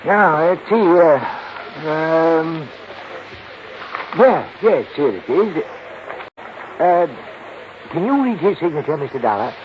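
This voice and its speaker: A timid hotel clerk in an old radio drama, polite and hesitant. He talks very slowly in a fairly high voice, on a muffled recording. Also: big pitch swings. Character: timid, deferential male hotel desk clerk. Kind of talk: 1950s radio drama acting. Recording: dull, noisy 1950s radio recording.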